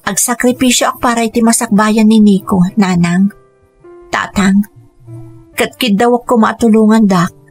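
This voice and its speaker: A woman's voice: medium pitch, steady tone, moderate pace, darkish tone, breathy edge, faint music behind. A woman playing an earnest young mother. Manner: earnest, pleading. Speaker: radio drama actor. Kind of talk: Ilocano radio drama acting.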